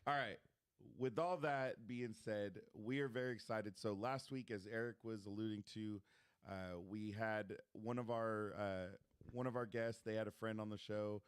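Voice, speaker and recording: A man co-hosting a podcast in casual chat, loud and joking. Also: lowish voice, steady tone, moderate pace, clean tone, dry recording. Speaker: podcast co-host.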